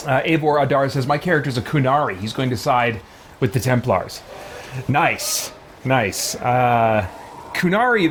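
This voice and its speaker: A man streaming a roleplay playthrough in a casual, rambling way. His voice sits at a medium pitch, with hesitant, self-interrupting phrasing and faint noise and game ambience behind him. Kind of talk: casual livestream roleplay commentary.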